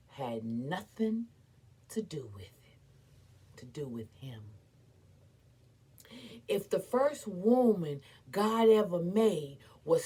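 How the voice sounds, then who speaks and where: Husky woman in slow, impassioned dramatic recitation. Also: medium pitch. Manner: impassioned, emphatic, with repeated phrases and long dramatic pauses. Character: impassioned, defiant woman orator, formerly enslaved, weary but proud. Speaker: performer reciting a historical speech. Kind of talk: dramatic theatrical recitation of an oratory monologue.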